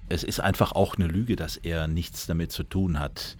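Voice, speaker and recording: A man's voice: sits low, steady tone, normal speed, slightly rough. A man giving emphatic, plain-spoken expert commentary as an interviewee in a documentary.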